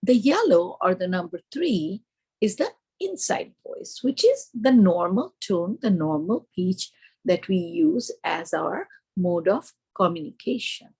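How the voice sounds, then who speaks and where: Woman, teacher trainer, Indian accent. Explanatory delivery with a gravelly edge, often asking and answering her own questions. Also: on the low side, sing-song, a little slow, mellow. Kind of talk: instructional presentation to teachers.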